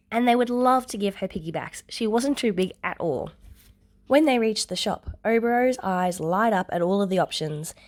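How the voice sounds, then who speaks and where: A woman's voice: medium pitch, darkish tone. A woman reading scripted fiction aloud as a narrator. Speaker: podfic narrator.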